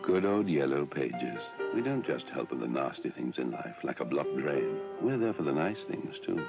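A reassuring English advert narrator. The voice is extremely deep and creaky. The recording is a dull old TV soundtrack with music underneath. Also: male voice, sing-song, normal speed, full character voice. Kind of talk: advert voice-over.

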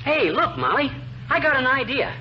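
Folksy American husband, swooping pitch. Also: male voice, very animated, normal speed. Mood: inspired. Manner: folksy, self-assured explaining. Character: blustering, hapless, folksy middle-aged husband. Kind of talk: 1940s radio comedy acting. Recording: noisy, muffled 1940s radio recording.

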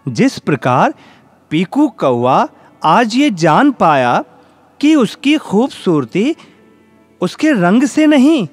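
A man narrating a scripted children's story in an expressive storytelling manner. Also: high voice, slow pace, no echo, soft background music.

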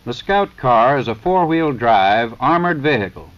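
A muffled, low-fidelity 1930s soundtrack carries an American man narrating a training film. His voice sits at a middling pitch, and he reads formally and matter-of-factly, like an instructor working through a script. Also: lively intonation. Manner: measured, instructional, matter-of-fact.

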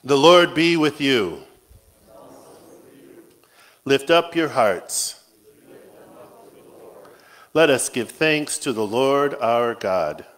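A man serving as pastor, reciting a communion liturgy in a measured, solemn way in a church. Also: medium pitch, animated, a bit bright. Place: church sanctuary.

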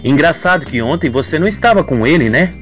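A woman's voice: low voice, animated, fast talker, faint music behind. A woman voicing a blunt, suspicious middle-aged father in a radio soap, insinuating as he talks.

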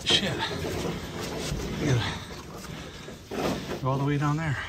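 A man's voice: on the high side, steady tone, slow. A man in his forties vlogging a solo canoe trip, talking matter-of-factly and swearing under his breath, recorded outdoors on a small action camera by a pond. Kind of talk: first-person outdoor vlog narration. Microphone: action camera clipped at chest or held. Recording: outdoor camera audio with wind noise. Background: wind and water.

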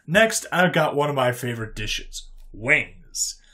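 A man voicing a cheerful, folksy human interrogator in a narrated sci-fi story, calm and teasing in colloquial phrases. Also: medium pitch, takes it slowly. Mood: folksy, enthusiastic.

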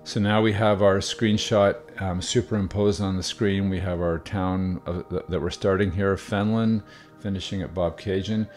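Deep-voiced guy talking you through a screen tutorial, easygoing, some ums. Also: moderate pace, faint music behind. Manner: relaxed step-by-step explaining with fillers. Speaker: video tutorial host. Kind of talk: screen-recording software tutorial.

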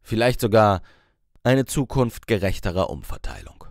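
A man's voice: fairly low pitch, big pitch swings, moderate pace, creaky, no room sound, studio quality. A man reading a scripted story as an audiobook narrator.

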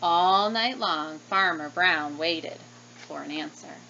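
A woman's voice: lowish voice, slow pace. A woman in her thirties reading a picture book to children like a teacher, expressively and with questioning asides. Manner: animated, questioning, child-directed. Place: small room indoors at desk. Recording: slightly dull webcam sound, faint noise.

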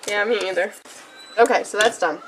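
A woman's voice: mid-range voice, normal speed, fairly bright tone, slight background noise, low-quality recording. A young woman chatting playfully while she cooks in a home kitchen, filmed on a camera nearby. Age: young adult. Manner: playful, giggly. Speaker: daycare worker cooking. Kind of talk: casual chat while cooking. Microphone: camera mic at a short distance.

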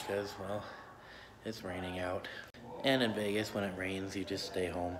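A man in his thirties talks casually to his phone camera in his living room, relaxed and chatty. His voice sits a little low. The phone recording carries steady background noise. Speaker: vlogger. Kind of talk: casual home vlog. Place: living room at home, indoors. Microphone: phone held at arm's length.

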